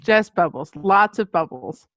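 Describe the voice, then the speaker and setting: A woman's voice: mid-range voice, expressive, unhurried, fairly bright tone, dry recording, highs cut off. A middle-aged woman, an author appearing as a guest, telling anecdotes in a casual interview style. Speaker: children's book author, podcast guest.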